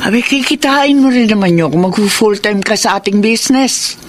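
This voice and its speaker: A man's voice: sits high, normal speed, a little hiss or hum, close to an everyday voice. A man playing an aging, persuasive business-owning parent in a Filipino radio drama. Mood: coaxing, weary.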